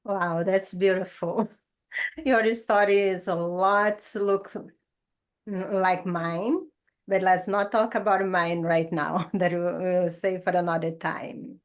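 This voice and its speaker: A woman's voice: fairly low pitch, fairly even intonation, takes it slowly. A woman from Brazil with a Brazilian Portuguese accent, hosting an interview on her channel.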